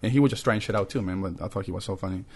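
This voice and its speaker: A man's voice: low-pitched, very fast, darkish tone, breathy edge. A man in his thirties with a thick Peruvian accent, a comedian telling stories in a laid-back, swear-heavy podcast chat. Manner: laid-back storytelling, frequent swearing and laughter. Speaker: comedian guest.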